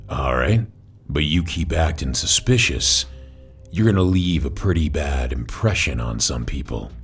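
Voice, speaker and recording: A huge wolf thug, acted by a man in an audio drama, with a very low voice. He drawls slowly and menacingly like he owns the territory, yet his pitch swings expressively. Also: lively intonation, husky, faint music behind, heavily acted. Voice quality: deep, low voice. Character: big intimidating territorial wolf, gang tough guy. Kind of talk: audio drama character acting.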